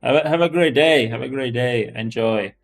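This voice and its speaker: A man's voice: medium pitch, normal speed, faint music behind. A man with a Czech accent chatting casually as a live-stream host, often restarting and repeating his phrases. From Czech Republic.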